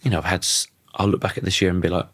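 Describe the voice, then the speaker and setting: Man, thirties, croaky, reflective, restarts sentences, very deep voice. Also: little pitch movement, very fast, dry recording. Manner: thoughtful, restarts sentences often. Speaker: podcast guest. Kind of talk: reflective conversational interview.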